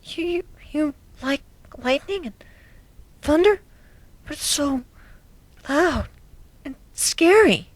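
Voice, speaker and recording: A woman acts out a gentle, anxious young pegasus mare with a high, soft voice. She speaks hesitantly and can sink toward a whisper. It is a first-person dramatic reading of a cartoon fan story. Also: animated, slow, pauses often, dry recording. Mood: shy, curious. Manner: hesitant, soft-spoken, breaking into near whispers.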